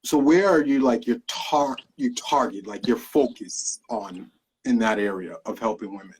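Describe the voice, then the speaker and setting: Man, interview host, raspy, filler-heavy questioning. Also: medium pitch, normal speed, a little mellow, rough recording. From Guyana. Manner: hesitant, many fillers and restarts. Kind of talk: casual interview.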